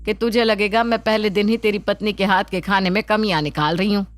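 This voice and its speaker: A woman's voice: medium pitch, fairly even intonation, fairly quick, natural voice. A woman voicing a patient but exasperated middle-aged mother-in-law in a children's story, with a motherly, coaxing manner. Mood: soothing. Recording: background music under the voice.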